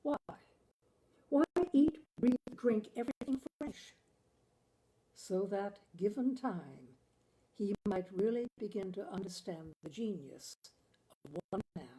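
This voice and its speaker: A woman narrating a short story in an expressive, deliberate audiobook style, savoring the phrasing. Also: medium pitch, rough recording. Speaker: literary reader, storyteller.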